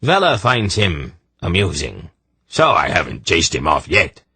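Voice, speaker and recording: A man's voice: low-pitched, huge pitch swings, a little slow, pushed and bright, slightly rough, no echo, heavily acted. A man voicing a coarse, crafty male trader who talks bluntly. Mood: gruff.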